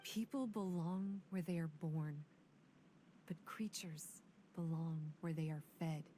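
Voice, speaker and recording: A woman voicing a young female vishap raised among creatures, who speaks in a cold, grave and measured way. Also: on the low side, dark tone, a little hiss or hum, close to an everyday voice. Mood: solemn. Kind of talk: video game voice acting.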